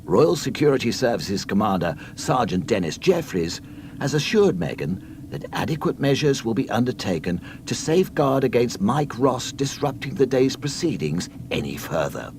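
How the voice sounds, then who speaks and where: A man narrating a scripted read-along storybook in a mock-solemn, deadpan comic manner. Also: medium pitch, moderate pace, background noise.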